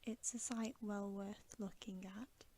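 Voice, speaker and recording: A woman reads a bedtime story as ASMR in a soft, breathy whisper, gentle and unhurried. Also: medium pitch, steady tone.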